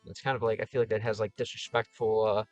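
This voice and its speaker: A man's voice: on the low side, little pitch movement, fast talker, darkish tone, dry recording, faint music behind. A man with a Chicago Midwest accent, slightly whiny vowels, thinking aloud as he answers comments on a livestream with lots of fillers and restarts. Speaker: streamer and game creator.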